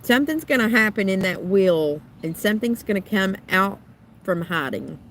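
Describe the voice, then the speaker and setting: A woman doing a tarot card reading, thinking aloud in musing, trailing phrases, her voice a little rough and raspy from being sick. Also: medium pitch, lively intonation, moderate pace, a little hiss or hum. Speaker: tarot reader.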